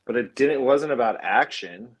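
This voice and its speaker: A man co-hosting a casual film chat, talking in an informal, opinionated and halting way. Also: mid-range voice, animated, breathy edge. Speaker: podcast co-host.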